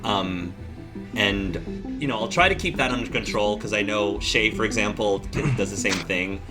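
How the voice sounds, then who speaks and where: Guy hosting a lets play, kinda low voice, laughs a lot, guitar music in the back. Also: normal speed. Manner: chatty, laughs easily, analytical. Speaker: let's play host. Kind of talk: casual group chat. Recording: guitar music playing underneath.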